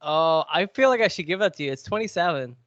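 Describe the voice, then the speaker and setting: A man's voice: fairly high pitch, expressive, fast talker, a bit bright, dry recording, muffled recording. A man with a cold, sniffly, chatting and joking as he hosts a podcast quiz. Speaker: podcast co-host. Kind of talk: casual podcast chat.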